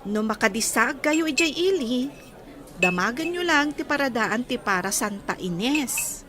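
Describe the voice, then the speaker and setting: A woman's voice: fairly high pitch, lively intonation, unhurried, sharp tone. A woman acting in a radio drama as a polite, forthcoming young village woman. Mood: friendly.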